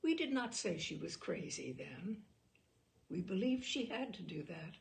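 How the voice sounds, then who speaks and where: A woman narrating a literary short story aloud in an expressive, measured way, with dramatic phrasing. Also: lowish voice, vocal fry.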